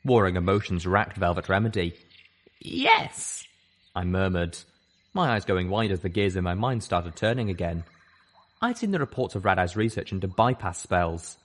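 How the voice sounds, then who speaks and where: A man reading a first-person fan fiction story aloud in a measured, expressive way. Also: sits low. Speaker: audiobook reader.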